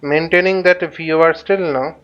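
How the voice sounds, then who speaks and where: Male tutorial host, smooth higher-set voice, halting think-aloud commentary, slightly dull recording. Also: fairly even intonation, fairly bright tone. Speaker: flight simulator instructor. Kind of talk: informal tutorial commentary while flying a simulator.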